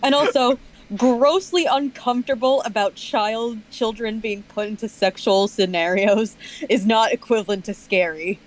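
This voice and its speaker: A woman with a fairly high voice joins a casual comedic chat. She cuts in with wry remarks. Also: normal speed, poor audio.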